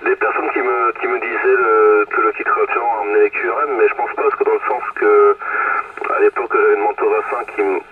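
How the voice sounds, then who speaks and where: A man's voice: fairly low pitch, flat delivery, a little fast, far from the mic. Over a radio transmission, a man chats casually as a CB operator, hesitant, rambling and correcting himself. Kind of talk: casual radio contact chat. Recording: muffled, very noisy CB radio reception.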